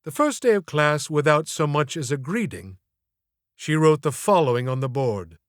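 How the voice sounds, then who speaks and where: A man reading his own first-person literary story in a theatrical, deliberate way, with florid phrasing. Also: fairly high pitch, expressive, no room sound, clean studio sound. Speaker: author reading own audiobook.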